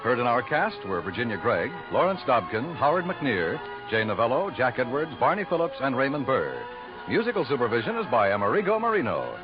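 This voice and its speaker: Very animated vintage male radio announcer. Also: medium pitch, a little fast. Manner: formal, polished projection. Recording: dull vintage radio recording, music behind. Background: music.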